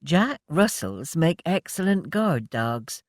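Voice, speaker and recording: Young man, thoughtful, earnest, slow, reader's voice. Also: sits low, sing-song, no echo, clean studio sound, voice pushed up, heavily acted.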